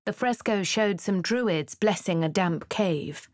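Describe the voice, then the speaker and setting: A woman voicing a posh, refined female adventurer in a video game, with a crisp, well-spoken English manner. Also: mid-range voice, takes it slowly, a little mellow, no room sound, clean studio sound, lightly acted. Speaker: player character voice lines. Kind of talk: game voice lines and narration.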